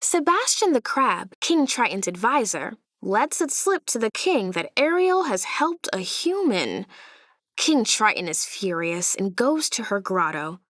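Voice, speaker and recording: A woman narrating a children's storybook aloud in an expressive way, with deliberate, dramatic emphasis. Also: mid-range voice, dry recording, clean studio sound.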